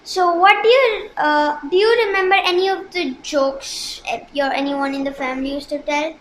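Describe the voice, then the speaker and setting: A young student interviewer with a very high voice reads out short, prepared questions. The room is echoey, and a faint hiss sits under her voice. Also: moderate pace.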